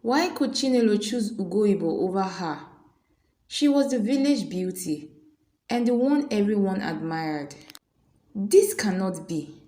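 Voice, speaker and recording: A woman storyteller narrating a scripted folktale in a dramatic, expressive way. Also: medium pitch, a little slow.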